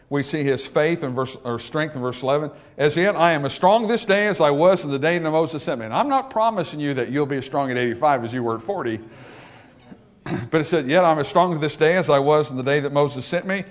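A man preaching a sermon, swinging from loud emphatic peaks to quiet low asides. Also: brisk.

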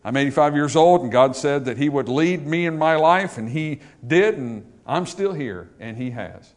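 Emphatic preacher, fairly high voice. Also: male voice, expressive, moderate pace. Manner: swings from loud emphatic peaks to quiet low asides. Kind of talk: sermon.

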